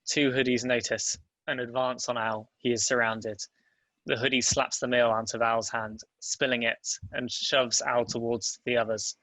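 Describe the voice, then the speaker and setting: Male narrator for script stage directions: bright, flat intonation, plain scripted delivery. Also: medium pitch, normal speed, no echo, poor audio. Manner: plain, even reading. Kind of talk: scripted narration at a table read.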